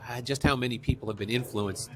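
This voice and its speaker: A mature man speaks as an author guest in an interview, with a mid-pitched voice. He tells stories conversationally, quoting exchanges and repeating key phrases for emphasis. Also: fast talker. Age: middle-aged or older. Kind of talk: conversational interview, anecdotal storytelling.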